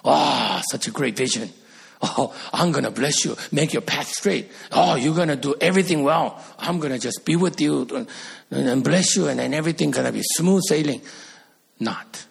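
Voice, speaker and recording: Male pastor, middle-aged, slightly high husky voice, earnest sermon delivery. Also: moderate pace. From Korea. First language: Korean. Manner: earnest, rises to emphatic peaks.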